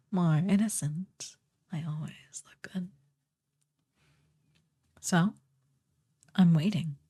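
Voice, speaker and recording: Woman playing a slow, breathy, haughty evil queen. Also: on the low side, warm tone. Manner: imperious, theatrically disdainful, drawn-out delivery. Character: haughty, sardonic evil sorceress queen dictating to a servant. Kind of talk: ASMR fantasy roleplay acting.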